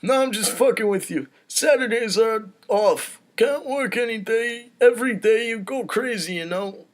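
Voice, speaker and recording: A man's voice: very high voice, moderate pace, pitched above the performer's own voice. A man voicing a blustering mafia boss with emphatic, theatrical gangster patter in a comedy script read. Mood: joking. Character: mafia Don, blustering boss with cotton-stuffed mouth.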